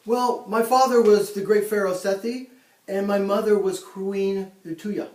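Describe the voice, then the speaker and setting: A man playing a proud ancient Egyptian pharaoh in a mock interview, speaking haltingly with many ums and restarts, recorded in an echoey room from a distance. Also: sits high, steady tone, darkish tone. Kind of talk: amateur school-style role-play interview.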